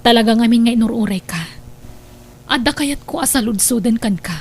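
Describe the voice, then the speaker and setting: A woman plays a wounded, doubting wife in an Ilocano audio drama. Her voice is high, with an Ilocano accent, and a faint hum sits behind it. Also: normal speed, lightly acted. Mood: hurt, accusing. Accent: Filipino, Ilocano. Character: suspicious, hurt wife and mother confronting her husband.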